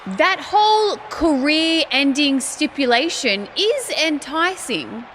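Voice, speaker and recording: A woman's voice: sits high, big pitch swings, slow pace, a bit bright, a little hiss or hum, exaggerated voice. A woman playing a haughty, condescending Australian wrestler who co-hosts a talk show in a mock-polite, theatrical way. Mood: snide.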